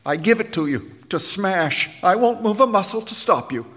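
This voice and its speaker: A man's voice: high voice, wildly swooping pitch, takes it slowly, husky, in a large hall, pitched above the performer's own voice, heavily acted. A man voicing an emotional, earnest band teacher in a spoken story, pleading and impassioned.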